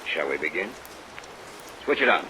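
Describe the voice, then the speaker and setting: Deep-voiced, imperious mad scientist. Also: male voice, sing-song, moderate pace. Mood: urgent, commanding. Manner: imperious, declamatory. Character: obsessed, commanding older male scientist doctor. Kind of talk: old-time radio acting. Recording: vintage radio recording, heavy rain noise.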